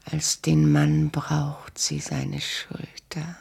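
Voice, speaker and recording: A shrewd, lonely queen in a radio play. Her husky voice is thick with creak and drifts along slowly. She is languid, breathy and coquettish. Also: low-pitched, completely flat delivery, darkish tone, full character voice. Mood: wistful, seductive. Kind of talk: German radio play acting.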